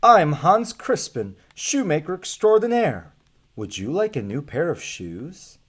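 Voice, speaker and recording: A man's voice: on the high side, big pitch swings, unhurried, fairly bright tone, pitched above the performer's own voice, exaggerated voice. An American man voicing a proud, eager travelling shoemaker from a storybook in a showy, declaiming manner. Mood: proud, inviting.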